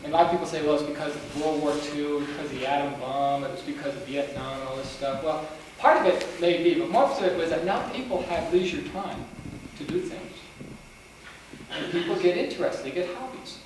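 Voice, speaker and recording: A man's voice: a little high, brisk. A man with a mixed New England and North Country accent gives a rambling lecture on genealogy to a meeting, recorded from far off in a meeting room with a faint hiss. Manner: rambling, talking to the audience with many restarts. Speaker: genealogy speaker. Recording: roomy, distant, faint hiss.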